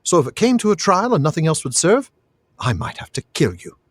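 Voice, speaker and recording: A man's voice: on the high side, wildly swooping pitch, a little fast, slightly rough, no echo, voice pushed up, exaggerated voice. A man reading a novel aloud, voicing a small, plucky young halfling with a playful, mock-menacing manner.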